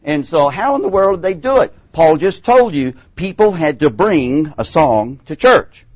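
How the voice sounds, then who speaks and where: A middle-aged man with a Texan accent teaching a Bible class in a classroom, animated and acting out the voices he quotes. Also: fairly high pitch, unhurried, no room sound, muffled recording. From Texas.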